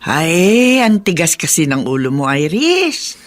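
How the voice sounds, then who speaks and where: A woman's voice: deep voice, very animated, slow pace, heavily acted. An actress playing a scolding but caring woman minding bickering children in a radio drama. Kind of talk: Filipino radio drama acting.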